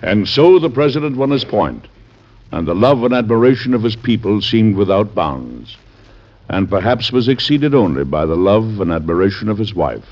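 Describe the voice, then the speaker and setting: An actor playing a dignified, warm president in an old radio drama, speaking in a measured, folksy storytelling way. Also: male voice, lowish voice, sing-song. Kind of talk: 1940s radio drama acting and narration. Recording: muffled old radio recording.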